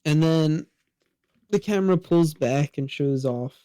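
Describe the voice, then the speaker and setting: A man's voice: fairly high pitch, a little slow, dark tone, smooth, dry recording. A teenage young man co-hosting a podcast, giving a casual recap commentary. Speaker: podcast co-host.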